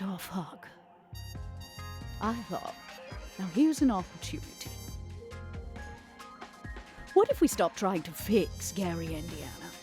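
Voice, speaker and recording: A woman playing a scheming, smooth-talking vampire crime boss in a tabletop roleplay, with a sly, theatrical, deliberate manner. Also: lowish voice, dark tone.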